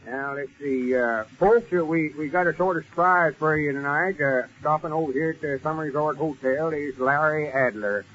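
An actor playing a folksy, earnest rural storekeeper emceeing a small-town sociable, with a rural Southern US accent, in 1930s radio comedy style. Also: male voice, a little high, moderate pace, few pauses, fairly bright tone, a little hiss or hum, lightly acted. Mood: hesitant announcing. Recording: dull vintage 1930s radio recording.

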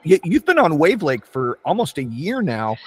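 A male podcast host with a fairly high voice stumbles into his questions, repeating words before he gets them out. A long reverb trails after his voice. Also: sing-song, normal speed, a little mellow, slightly rough. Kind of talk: casual interview questions.

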